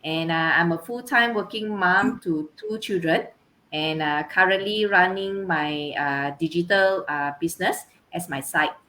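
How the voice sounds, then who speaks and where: A woman's voice: on the low side, measured pace, bright, forceful tone. A woman casually introducing herself as a panelist on a live online stream. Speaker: online business owner, panelist. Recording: faint background noise.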